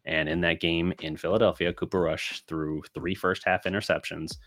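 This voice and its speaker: A man hosting a solo sports podcast, thinking aloud as he answers listener questions, his voice getting scratchy and raspy. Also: sits low, little pitch movement, moderate pace, a bit bright, faint music behind. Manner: thinking aloud, with restarts and fillers. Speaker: podcast host.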